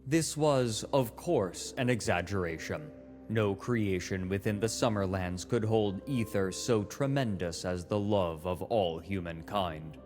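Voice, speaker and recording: An actor playing a formal, precise chronicler narrating a fantasy saga in documentary style, matter-of-fact and pedantic. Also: male voice, deep voice, unhurried, a little mellow. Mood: measured, matter-of-fact. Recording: music behind.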